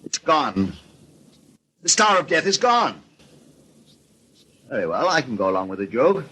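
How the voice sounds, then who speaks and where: An actor playing an indignant, commanding, wealthy party host in a 1940s radio mystery. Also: male voice, mid-range voice, very animated, a little slow, pushed and bright, breathy edge, exaggerated voice. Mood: alarmed, indignant. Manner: commanding, stern, theatrical delivery. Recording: vintage 1940s radio recording with noise.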